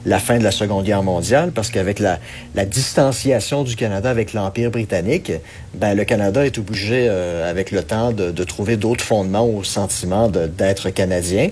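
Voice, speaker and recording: A man's voice: lowish voice, moderate pace, darkish tone, a little hiss or hum. A man with a Québécois French accent giving political commentary, earnest and analytical with frequent hesitations. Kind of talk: radio commentary segment.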